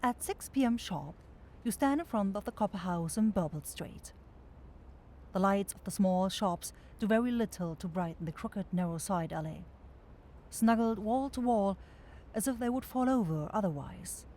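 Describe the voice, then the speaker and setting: A woman's voice: medium pitch, lively intonation, normal speed, dark tone, slight background noise. A woman giving scripted second-person narration for a fantasy ASMR roleplay.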